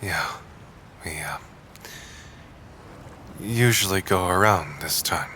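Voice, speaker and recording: A man's voice: sits low, slow pace, pushed and bright, slight background noise, exaggerated voice. An actor playing a reserved, wistful and skeptical man with a terse way of talking, in an audio drama.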